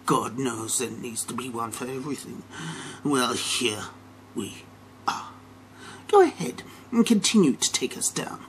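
A man's voice: mid-range voice, expressive, a little slow, long pauses, a little hiss or hum, natural voice. A middle-aged American man playing an arrogant, vengeful Wall Street banker in a theatrical dramatic reading that moves between whispers and emphatic declarations. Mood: menacing.

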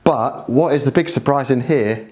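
A middle-aged man hosting a walking video tour of holiday flats, chatty and friendly as he shows rooms. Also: medium pitch, normal speed, noisy background. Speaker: property video host. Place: seaside quay outdoors, then rooms of a flat.

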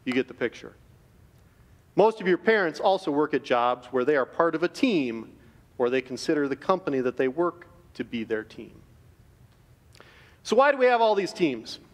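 A man's voice: fairly high pitch, very animated. Middle-aged man speaking in a reverberant auditorium. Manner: earnest, measured, addressing students directly. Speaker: chapel speaker. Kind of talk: school chapel devotion. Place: large school auditorium stage. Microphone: podium microphone.

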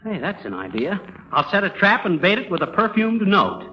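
An actor playing a jumpy, wisecracking homebody with an American accent and a folksy manner in 1930s radio comedy. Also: male voice, sits high, normal speed. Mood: scheming. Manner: folksy, excitable. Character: jumpy, wisecracking male homebody afraid of mice. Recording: noisy, muffled 1930s radio recording.

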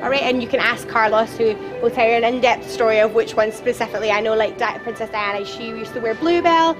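A young woman leads a tour group through an outdoor garden, her voice high and bright as she talks loudly to the people around her. She is chatty and playful, and she is picked up by a camera a few steps away. Music plays clearly in the background. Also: fast. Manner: loud, lively and chatty, with playful jokes. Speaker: tour guide. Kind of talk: guided tour talk to a group. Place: outdoor garden at a theme park. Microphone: camera a few steps away, no microphone.

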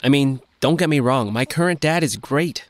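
A man acts as a reserved young guy in an audio drama. His mid-pitched voice is recorded close and dry. He comes across as awkward and shy. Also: normal speed, no echo, lightly acted. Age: young adult. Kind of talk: scripted audio drama dialogue.

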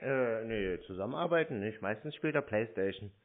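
A man's voice: fairly low pitch, expressive, moderate pace. A laconic man chatting casually on a phone call, giving short replies. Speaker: YouTuber. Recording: narrow, phone-like sound.

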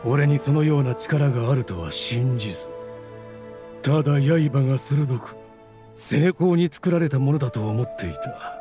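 A man's voice: medium pitch, animated, measured pace, close to an everyday voice. A man voicing a proud, bitter spirit of a cursed sword in a Japanese video game, speaking with haughty menace. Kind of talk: dramatic Japanese game voice acting. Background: music.

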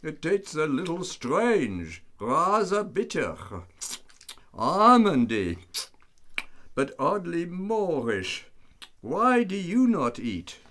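A man's voice: on the high side, sing-song, slow, a bit bright, full character voice. A man playing a sly, imperious Russian mad monk in a comic radio play, with formal, theatrical delivery. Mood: suspicious, probing.

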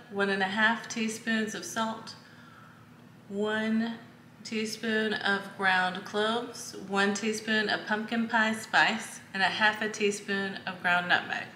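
Slow, bright-voiced woman cooking at home. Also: medium pitch. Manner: slow, step-by-step instructions with fillers. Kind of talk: casual recipe walkthrough. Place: home kitchen, indoors. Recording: echoey kitchen with faint noise.

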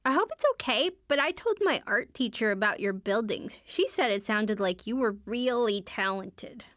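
Game role: shy teen superhero girl, higher-pitched, very animated melody, understated and earnest. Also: normal speed, no room sound, clean studio sound. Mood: earnest, warm. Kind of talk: video game character voice lines.

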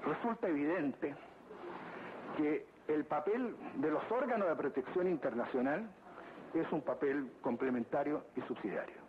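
A man presenting a formal legal argument for the state at a court hearing, deliberate and repeating phrases for emphasis. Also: on the high side, animated. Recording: tinny lo-fi archive recording with background noise.

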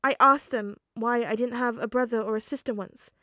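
A woman's voice: a little high, fairly quick, darkish tone, dry recording, studio quality, natural voice. A woman voicing an upset teenage boy who argues tearfully and stubbornly. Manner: tearful, pleading, sniffling.

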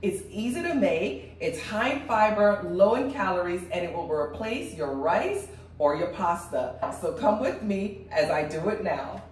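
A woman's voice: lowish voice, sing-song, measured pace, slight background noise. A woman in her forties with a congested voice, chatting viewers through a cooking demonstration in her home kitchen. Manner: chatty, talks viewers through each step. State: congested, voice a little off. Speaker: cooking channel host. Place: large home kitchen indoors. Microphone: far camera. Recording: echoey kitchen from far off.

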